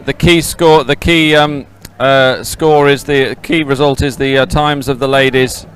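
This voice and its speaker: A man giving live, unscripted sports commentary outdoors, halting often to repeat and correct himself. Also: mid-range voice, steady tone, a bit bright. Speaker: race commentator. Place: outdoors beside a race course.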